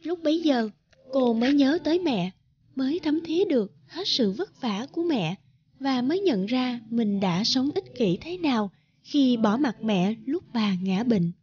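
Mellow woman narrating a folktale. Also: high-pitched, expressive, unhurried. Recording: dull, band-limited sound.

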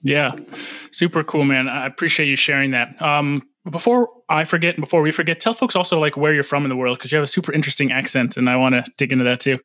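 A man in his thirties hosting a podcast interview, friendly and relaxed, often pausing with "um" and restarting. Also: on the high side, dry recording, studio quality. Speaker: podcast host. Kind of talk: casual interview conversation. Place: home room. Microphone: studio microphone on boom arm.

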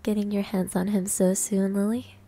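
Woman acting a breathy, teasing anime maid. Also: medium pitch, little pitch movement, normal speed, natural voice. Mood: teasing, flirty. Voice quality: breathy, soft. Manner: coy, teasing. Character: teasing, confident senior maid, playful and flirty. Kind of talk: anime-style ASMR roleplay acting. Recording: music behind the voice.

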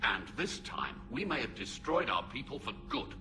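An actor voicing a male alien admiral who speaks in a sharp, reproachful way. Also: mid-range voice, very animated, normal speed, over music.

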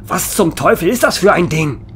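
Man acting a tense investigator, swinging wildly in pitch. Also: expressive, normal speed, a bit bright, slight background noise. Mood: grim unease. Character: alarmed male investigator examining a monster carcass. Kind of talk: amateur horror audio drama acting. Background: eerie background music.

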